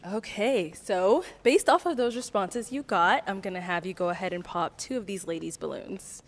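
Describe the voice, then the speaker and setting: A woman hosting a dating game show, asking contestants questions and laughing lightly between them. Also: medium pitch. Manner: upbeat, quick little laughs between questions.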